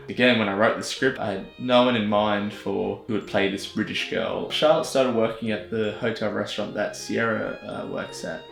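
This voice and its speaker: A young Australian man chatting casually as a filmmaker, self-deprecating and quick to laugh. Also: on the low side, steady tone, creaky, fairly bright tone. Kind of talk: casual vlog narration. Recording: echoey room with music under it.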